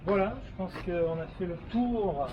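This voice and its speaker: Man, Québécois accent, 30s, hesitant casual talk, slightly high voice, noisy street background. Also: measured pace, lo-fi sound. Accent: Québécois French. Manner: hesitant, frequent "euh" fillers and restarts, explaining features step by step. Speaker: travel vlogger. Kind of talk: casual walkaround of a new car. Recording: noisy outdoor ambience with vehicle sounds.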